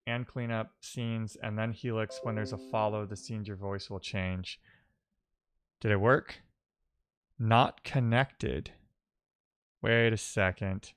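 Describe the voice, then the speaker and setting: A man streaming live coding, talking casually and haltingly as he thinks aloud and mutters to himself while he works. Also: on the low side, steady tone, fairly bright tone, clear, resonant tone.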